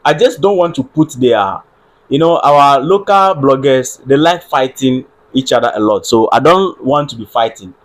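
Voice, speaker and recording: A man's voice: mid-range voice, expressive, moderate pace. A man with a Ghanaian accent giving earnest political commentary on a live stream, repeating phrases and checking with viewers that his audio works. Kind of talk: solo livestream political commentary.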